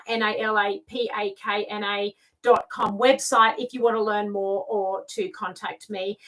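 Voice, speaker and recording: A middle-aged Australian woman speaks informally to camera as a spiritual mentor and tarot reader. Her voice is fairly high and clearly bright, and she repeats phrases for emphasis. The microphone sits a little way off from her. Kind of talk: informal spoken guidance to camera. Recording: distant microphone.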